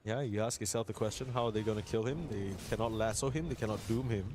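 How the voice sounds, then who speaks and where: A man's voice: lowish voice, quick pace, background noise. A man commentating live on an esports match, moving between conversational analysis and play-by-play, with music behind him.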